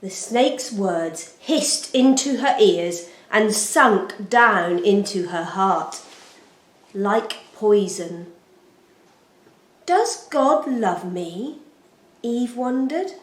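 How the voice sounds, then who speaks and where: A woman storyteller giving an expressive, solemn and deliberate narration of a scripted children's Bible story. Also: mid-range voice. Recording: roomy, echoing sound.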